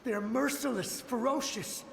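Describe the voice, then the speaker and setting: An actor playing the ghost of a loyal fallen soldier in a video game, distraught and urgent, with a ghostly reverb on the voice. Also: male voice, extremely high pitch, moderate pace, gravelly, a bit bright, full character voice. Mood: frantic warning. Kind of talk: dramatic video game acting. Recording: long echoing reverb, faint background noise.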